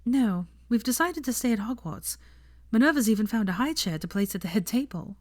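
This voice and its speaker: A woman's voice: fairly high pitch, lively intonation, a little fast, darkish tone, voice pushed up. A woman voicing a prim, articulate young woman. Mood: chatty. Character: young adult pregnant woman, earnest and prim.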